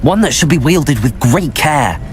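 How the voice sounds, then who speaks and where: An actor playing an earnest, cautious young wizard student in a video game, speaking in a measured way. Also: male voice, on the high side, expressive, noisy background. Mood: hesitant. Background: game music.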